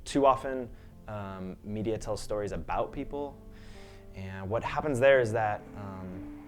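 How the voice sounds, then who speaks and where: A man's voice: fairly low pitch, measured pace, soft background music. A man from a youth media organization, speaking fluently and articulately about its work. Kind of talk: interview explaining an organization.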